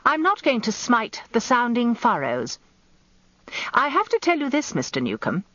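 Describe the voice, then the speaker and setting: A woman playing a brisk, tart older wife in a radio drama, answering with crisp, firm retorts. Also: a little high, huge pitch swings, fairly bright tone, no room sound, highs cut off, pitched above the performer's own voice.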